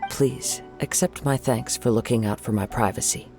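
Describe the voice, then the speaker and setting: A man acts as a humble young samurai wanderer in a video game, composed and courteous. His medium-pitched voice has a creaky edge, with faint music behind it. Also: normal speed, natural voice. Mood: gracious. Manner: composed, formal, soft-spoken courtesy. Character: calm, gracious, humble young wandering swordsman.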